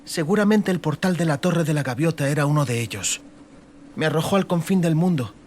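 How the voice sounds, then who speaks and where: A man voicing an exhausted, determined young girl's inner thoughts in a dramatized audiobook, in a hushed dramatic delivery. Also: on the high side, animated, a little fast, a little hiss or hum, natural voice. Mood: anxious recollection.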